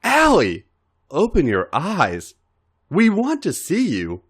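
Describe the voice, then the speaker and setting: A man's voice: on the high side, huge pitch swings, slow, fairly bright tone, husky, voice pushed up, full character voice. A man voicing a confident, coaxing younger male wrestler with an insistent, teasing manner in a story reading. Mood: urging.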